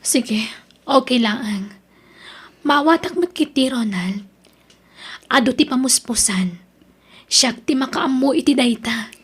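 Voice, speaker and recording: A woman's voice: high-pitched, expressive, a little slow, pauses often, fairly bright tone. A woman with an Ilocano accent playing a proud, determined young student in an audio drama. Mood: earnest, resolute. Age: young adult.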